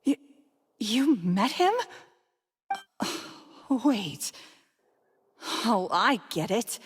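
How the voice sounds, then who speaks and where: A woman's voice: fairly high pitch, animated, drawn-out words, a little hiss or hum. A woman playing a bitter, world-weary former thief in a video game, wry and confrontational. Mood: taken aback, scornful. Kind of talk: dramatic scripted video game dialogue.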